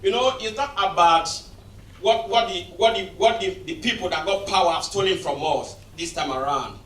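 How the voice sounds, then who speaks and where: A man in a film audience giving effusive, halting thanks to the panel. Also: high-pitched, a bit bright. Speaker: audience member. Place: cinema auditorium. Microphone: point-and-shoot camera across the room. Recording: echoey hall, distant camera mic.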